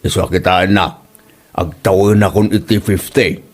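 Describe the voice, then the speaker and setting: A man's voice: steady tone, moderate pace. A man playing a playful, teasing father in his fifties in a comedic audio drama. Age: 50s. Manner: playful teasing, big pitch swings. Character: teasing doting father, about fifty.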